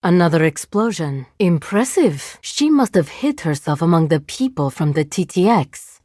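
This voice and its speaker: Cold, deadpan cyborg mercenary woman. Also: lowish voice, normal speed, dry recording, studio quality. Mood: cool, curious. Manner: formal, precise, deadpan, stilted. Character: cold, clinical, haughty female cyborg mercenary scientist. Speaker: video game voice actor. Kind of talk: scripted video game character voice lines.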